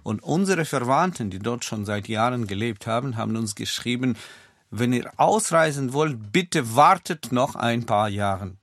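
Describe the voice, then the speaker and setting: A man's voice: mid-range voice, animated, measured pace, fairly bright tone, no echo. A man in his sixties from Ukraine, a non-native speaker with a Ukrainian accent, explaining his own past as an interview guest. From Kyiv, Ukraine. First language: not German. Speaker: podcast guest. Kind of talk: personal recollection in an interview. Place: studio.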